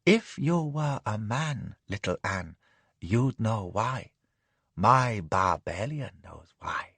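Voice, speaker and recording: A man voicing a drowsy, capricious young imperial concubine who purrs her words slowly. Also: fairly low pitch, animated, vocal fry, fairly bright tone, husky, dry recording, pitched below the performer's own voice, heavily acted. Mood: purring teasing.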